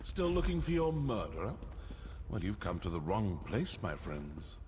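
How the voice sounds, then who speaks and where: A weary condescending assassin in a video game, theatrical, expressive, long reverb with slight background noise. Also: male voice, lowish voice. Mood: scornful, impatient. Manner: sardonic, deliberate, theatrical. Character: condescending male assassin of a dark brotherhood, weary.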